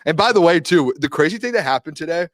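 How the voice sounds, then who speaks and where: A man's voice: on the high side, fast talker, no echo, clean studio sound. A man in his late twenties co-hosting a sports stream, talking casually in a rambling way full of fillers. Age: 20s. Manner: rambling, filler-heavy, excitable. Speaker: sports show co-host. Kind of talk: casual live-stream chat.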